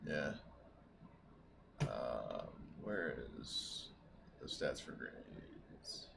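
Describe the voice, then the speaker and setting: A man's voice: rumbling low, very animated, extremely slow. A man chatting casually as a player at a tabletop roleplaying game. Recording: clearly noisy voice track.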